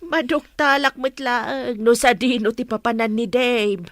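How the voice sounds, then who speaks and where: A woman's voice: fairly high pitch, measured pace, no echo, studio quality. A woman acting as a nosy, meddling mother in an audio drama, prying insistently.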